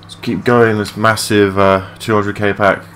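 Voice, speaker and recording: Low-voiced young man, casual and sweary. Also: flat delivery, unhurried, a bit bright. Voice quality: low-pitched voice. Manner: rambling, sweary, matey. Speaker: gaming video creator. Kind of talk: casual unscripted gameplay commentary. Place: his room at home. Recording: clearly noisy home mic recording.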